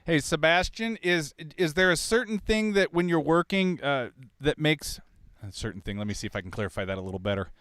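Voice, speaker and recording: A man's voice: fairly high pitch, lively intonation, brisk, fairly bright tone, no echo. A man from Kansas hosting a casual chat about wiring a recording studio, rambling and restarting his questions with chuckles. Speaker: podcast host. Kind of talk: casual studio shop talk.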